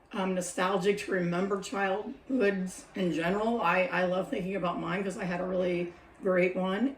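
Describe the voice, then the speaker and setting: A woman's voice: mid-range voice, creaky. Scratchy-voiced middle-aged woman, roomy echo. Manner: chatty, reflective, laughs often. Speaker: senior care worker sharing advice. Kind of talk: casual talking-to-camera vlog. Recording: roomy echo, mic a bit distant.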